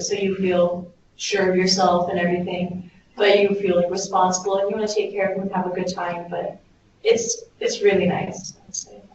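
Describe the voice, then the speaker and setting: A young woman chatting loosely and conversationally as a volunteer on a group call. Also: mid-range voice. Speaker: Peace Corps volunteer. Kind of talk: casual chat. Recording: distant, echoey, dull, hissy conference call.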